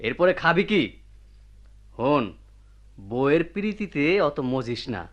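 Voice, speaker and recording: An actor playing a meddling, mockingly preachy village friend with a rural Bangladeshi accent in a teasing radio drama style. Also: male voice, a little high, expressive, unhurried. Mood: mocking, admonishing. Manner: teasing, admonishing. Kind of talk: Bengali radio drama acting.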